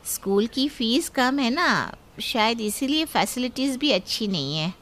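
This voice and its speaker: A woman voicing a young mother who calmly stands up for her daughter. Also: on the high side, a little slow. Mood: earnest.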